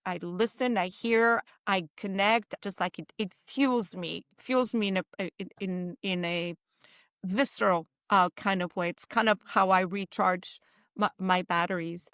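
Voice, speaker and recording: A woman around sixty with a French accent and Spanish as her first language, chatting as a guest in an interview. She talks in a lively, chatty way at a middling pitch. The sound is close and dry. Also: clean tone, no room sound. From Spain and Portugal. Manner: lively, chatty, acts out other people's lines. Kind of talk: casual storytelling in an interview.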